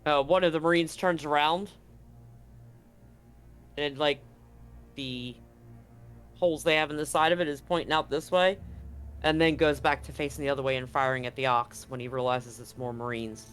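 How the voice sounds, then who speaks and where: Halting male game master over faint music. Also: a little high, steady tone, fairly bright tone, clean tone. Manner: halting, repeats words while improvising. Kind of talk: improvised tabletop game narration.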